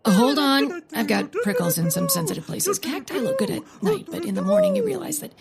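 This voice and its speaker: A woman's voice: extremely high pitch, sing-song, a little fast, creaky, a loud crowd, full character voice. A woman playing a blunt, impatient, sarcastic sidekick in a comic audio drama.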